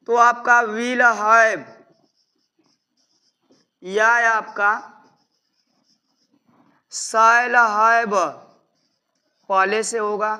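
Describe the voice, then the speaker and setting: A man's voice: extremely high pitch, bright, forceful tone, clean tone. A man with an Indian accent speaking as a teacher, explaining step by step in a didactic way and repeating his instructions. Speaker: English grammar teacher. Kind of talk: instructional lesson, explaining step by step in Hindi with English terms.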